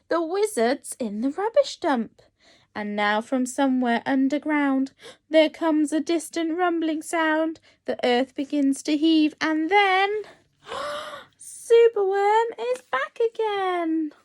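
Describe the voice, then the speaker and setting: A woman with a high voice reads a children's story at a slow, deliberate pace. She sounds like a nursery teacher at storytime, animated and encouraging, speaking directly to little listeners. The sound is close and dry. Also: dry recording. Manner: expressive, encouraging, addressing young children. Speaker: nursery teacher reading a picture book aloud.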